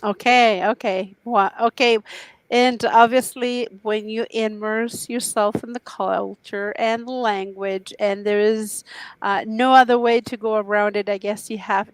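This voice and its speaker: A woman's voice: medium pitch, no room sound, low-quality recording. A woman hosting an interview show, chatty and effusive, often restarting her sentences. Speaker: talk show host.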